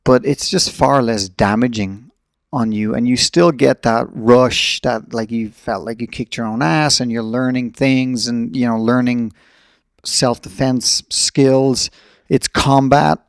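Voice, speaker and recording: A man's voice: mid-range voice, steady tone, slow, no room sound, studio quality. A middle-aged man talking in a casual, reflective and blunt way as he hosts a podcast conversation.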